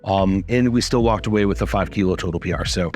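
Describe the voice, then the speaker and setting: A man coaching lifters, talking through results in a casual, upbeat recap with a raspy voice and plenty of swearing. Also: low voice, fast, darkish tone, soft background music. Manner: upbeat, swears freely, many fillers. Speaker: strength coach.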